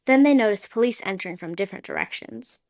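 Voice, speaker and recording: A woman's voice: medium pitch, expressive, fast talker, creaky. A woman narrating a radio play in a vivid, dramatic scripted style.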